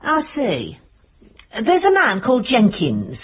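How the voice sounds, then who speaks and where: A shrewd, middle-aged British investigator in a radio play. Her husky, fairly high voice moves very freely up and down. She is wry and pointed, understating everything as she probes. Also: huge pitch swings, slow, exaggerated voice. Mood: cool, probing. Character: shrewd, dry, probing working-class British woman investigator. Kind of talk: radio drama dialogue.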